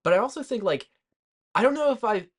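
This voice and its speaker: A man's voice: sits high, lively intonation, fairly quick. A tipsy man co-hosting a podcast, chatting casually about movies in a rambling, hesitant way. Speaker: podcast co-host.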